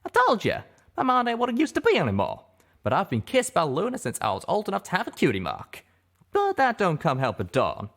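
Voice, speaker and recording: A man's voice: high-pitched, wildly swooping pitch, fairly quick, a bit bright, pitched above the performer's own voice, exaggerated voice. A man voicing a blunt, forgetful elderly stallion with rustic, folksy speech in an audiobook. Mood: unashamed. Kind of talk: audiobook character voice.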